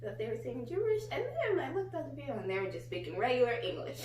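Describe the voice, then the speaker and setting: A young adult woman with an American accent hosting a casual chat in an informal, halting way. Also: high voice, big pitch swings, a little mellow, far from the mic. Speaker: vlog host, a daughter. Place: small living room, on a couch. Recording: roomy, off-mic, faint hum.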